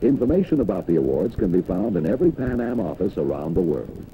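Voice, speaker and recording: An American man reading scripted narration for a 1960s corporate training film in a polished, measured announcer's delivery. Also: low voice, big pitch swings, creaky, slight background noise. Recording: dull, dated 1960s film soundtrack.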